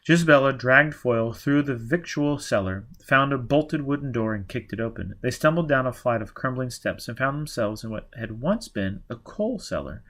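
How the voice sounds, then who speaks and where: A man narrates a novel as an audiobook reader, with a middle-pitched voice. He delivers the prose as dramatic, scripted storytelling. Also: expressive, normal speed, few pauses, fairly bright tone.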